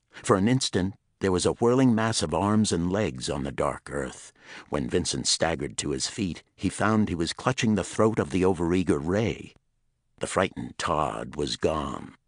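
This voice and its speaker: A man's voice: sits low, no echo, clean studio sound. A man narrating a pulp thriller audiobook in a dramatic, suspenseful storytelling manner.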